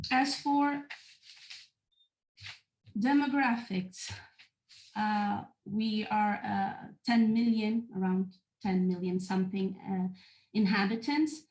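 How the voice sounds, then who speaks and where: A woman from Portugal with a Portuguese accent, presenting in a webinar at a measured pace with frequent hesitations. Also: medium pitch, echoey room, poor audio. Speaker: university international relations officer presenting.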